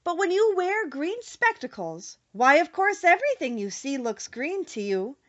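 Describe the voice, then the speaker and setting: A woman's voice: very high-pitched, sing-song, normal speed, fairly bright tone, highs cut off, voice pushed up, full character voice. A woman voicing a little old humbug wizard, sorrowful and confessing, in earnest audiobook storytelling. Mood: earnest confession. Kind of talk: audiobook character voice.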